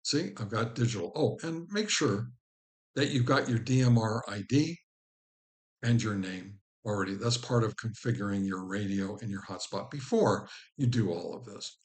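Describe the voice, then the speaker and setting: A man's voice: lowish voice, moderate pace. A man presenting an informal step-by-step tutorial as host, instructing the viewer directly. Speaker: host of a ham radio how-to channel.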